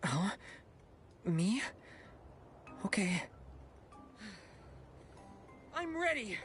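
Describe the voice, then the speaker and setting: A man's voice: high-pitched, very animated, takes it slowly, fairly bright tone, faint music behind, full character voice. A man playing an earnest, caring and slightly nervous young male companion in a video game.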